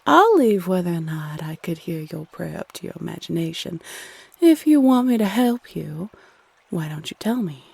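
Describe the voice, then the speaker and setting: A woman playing a flirty, playfully condescending female Cupid in an intimate roleplay audio, sultry and coy with drawn-out phrasing. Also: fairly low pitch, very animated, creaky, darkish tone, breathy edge, no room sound, exaggerated voice. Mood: teasing, coaxing.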